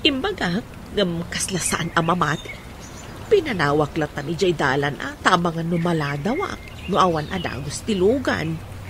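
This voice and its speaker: A woman's voice: on the low side, very animated, moderate pace, noisy background. A middle-aged woman playing a proud, hardworking mother in an Ilocano radio drama. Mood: proud, tender.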